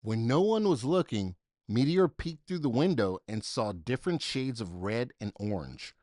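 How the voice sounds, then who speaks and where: A man reading a children's story aloud in an expressive, dramatic way, with deliberate emphasis for young listeners. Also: mid-range voice, no echo, clean studio sound. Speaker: storybook reader.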